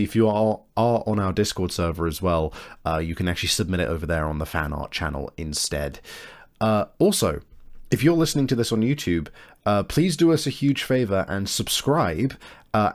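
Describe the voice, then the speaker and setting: A man hosting a tabletop game podcast, speaking casually and hesitantly as he searches for his words. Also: low voice. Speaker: game master and show host. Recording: music behind the voice. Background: music.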